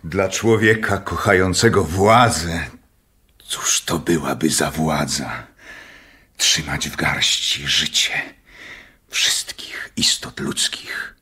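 An actor playing a scholarly, secretive doctor obsessed with power in a radio drama, speaking in a measured, clinical way. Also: male voice, rumbling low, steady tone, sharp tone, breathy edge, exaggerated voice. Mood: brooding. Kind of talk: Polish radio drama acting.

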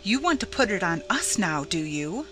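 A woman's voice: lowish voice, big pitch swings, moderate pace. A woman voicing an angry, scornful schoolgirl bully in a storybook reading, scolding in indignant slang, with music behind her.

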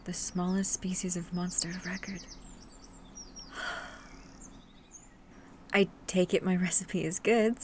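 Woman playing tender female monster hunter, ASMR roleplay. Lowish, soft, unhurried, coaxing, protective. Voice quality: soft, breathy whispering at times. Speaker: voice actor. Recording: steady ambient noise with birdsong.

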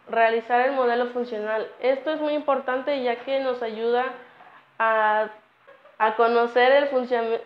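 A young woman giving a halting student presentation, restarting phrases as she explains project phases. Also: a little high. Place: indoors against a plain wall. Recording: echoey room, dull lo-fi audio.

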